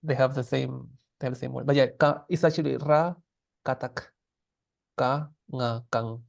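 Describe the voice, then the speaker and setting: A man with a gravelly, mid-pitched voice teaches a language class. He explains the grammar patiently and casually, pausing often and restarting his sentences as he goes. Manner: patient, relaxed, with many fillers and restarts.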